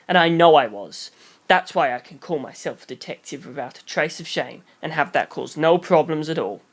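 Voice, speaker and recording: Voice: mid-range voice, animated, normal speed. Narrator doing a kid detective in an audiobook, intense n earnest, speechy, super sure of himself. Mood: certain, intense. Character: eleven-year-old boy detective, earnest, grandly self-certain.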